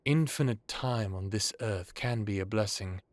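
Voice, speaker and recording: A man playing an ancient, courteous, world-weary vampire lord in an audio roleplay, speaking in a measured, knowing, genteel way. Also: lowish voice, dry recording, lightly acted. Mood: grave, knowing. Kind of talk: dramatic audio roleplay acting.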